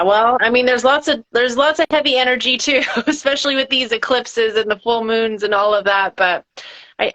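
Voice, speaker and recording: A woman's voice: medium pitch, sharp tone. Flowing woman podcast host, muffled. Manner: flowing, spiritual phrasing. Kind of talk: interview chat. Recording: dull lo-fi sound.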